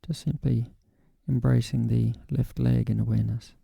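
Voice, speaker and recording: A man guiding a body scan meditation in a calm, gentle, unhurried way with long pauses. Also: medium pitch, creaky, slightly rough, dry recording. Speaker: meditation guide.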